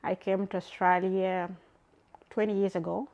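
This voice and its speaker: A woman's voice: mid-range voice, little pitch movement, normal speed, darkish tone, highs cut off. A middle-aged woman from Sudan, speaking earnestly about her family and community. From South Sudan. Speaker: community mother.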